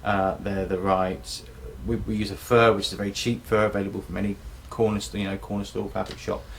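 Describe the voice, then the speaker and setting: A man's voice: on the low side, little pitch movement, fairly quick, a little hiss or hum. A man explaining his craft as a puppet maker in an informal documentary interview.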